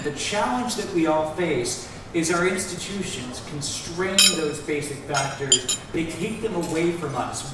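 A middle-aged American man giving upbeat panel remarks, standing and gesturing on stage with a headset mic in a large event hall. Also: sits high. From United States. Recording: echoey hall, distant mic, background noise.

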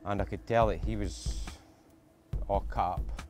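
Man, scottish accent, lifeboat crewman, plainspoken, lower voice, faint music. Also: steady tone, measured pace. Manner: plainspoken, with a short laugh now and then. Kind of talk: interview recollection.

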